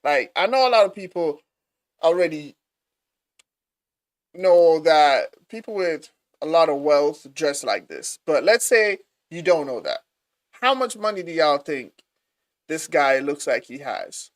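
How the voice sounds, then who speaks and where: A man hosting a live reaction stream, talking excitedly to his viewers and calling them bro. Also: on the high side, unhurried, long pauses. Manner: excited, talks to viewers, says bro a lot.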